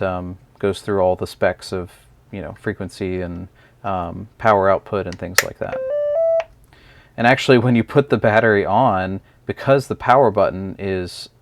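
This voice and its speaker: A man's voice: low-pitched, gravelly. A man casually explaining a product in an unscripted, hesitant review, talking through it step by step as the host.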